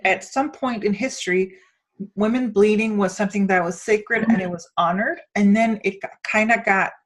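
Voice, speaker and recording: A woman's voice: medium pitch, normal speed. A woman from Denmark hosting a conversational interview, thinking aloud and often searching for her words as she rambles toward a point. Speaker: podcast host and interviewer.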